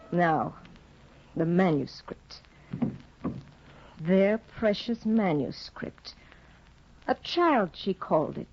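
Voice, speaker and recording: Bitter, imperious young wife. Lively pitch, lo-fi 1950s radio drama sound. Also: female voice, full character voice. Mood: bitter, brooding. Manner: icy, bitter, commanding. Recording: dull lo-fi 1950 radio broadcast with faint hiss.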